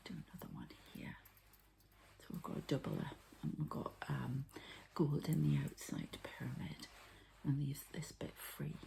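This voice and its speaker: A woman speaks in a hushed, mostly whispered voice with a low, crackly edge. She murmurs like an ASMR healer guiding a listener through a session, pausing often and explaining each small step softly. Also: vocal fry. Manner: soft, halting, murmured commentary on each step. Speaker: ASMR energy healer and channeler.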